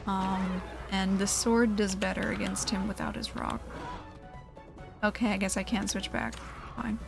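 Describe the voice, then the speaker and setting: A woman's voice: mid-range voice, level tone, unhurried, creaky. A woman giving casual gameplay commentary, muttering self-deprecating reactions as she plays. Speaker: gaming video creator. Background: video game music.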